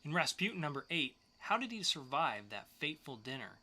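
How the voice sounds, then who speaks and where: A man's voice: on the high side, very animated, fairly quick, sharp tone. A man co-hosting a podcast, presenting scripted segments in an upbeat style. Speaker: podcast co-host.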